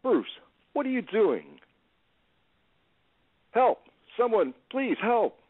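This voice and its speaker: A man's voice: sits high, big pitch swings, takes it slowly, background noise, heavily acted. A man acting in an audio play as a frightened aging drunkard, stammering, evasive and defensive. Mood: panicked, pleading. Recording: tinny phone-like band.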